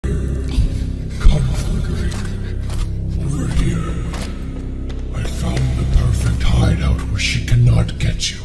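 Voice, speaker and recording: Man doing soft protective robot bear, slow breathy gravel, cartoon style, music behind. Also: sits low, big pitch swings, echoey room, full character voice. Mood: hushed, protective. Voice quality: breathy, hushed. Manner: urgent, reassuring. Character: gentle protective animatronic bear hiding a child.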